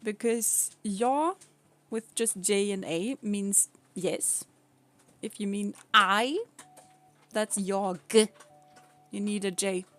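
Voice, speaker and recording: A woman's voice: mid-range voice, steady tone, takes it slowly, fairly bright tone. A young woman from Sweden with a Swedish accent, chatting casually with viewers on a live stream, laughing often and explaining things patiently.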